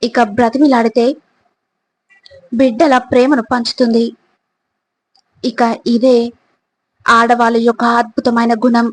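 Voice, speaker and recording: A woman with a slightly high voice delivers scripted motivational narration. Her delivery is very slow and deliberate, broken into short phrases. The pitch stays quite flat as she speaks straight to the listener. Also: no room sound, rough recording. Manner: deliberate, pausing between short phrases, addressing the listener directly.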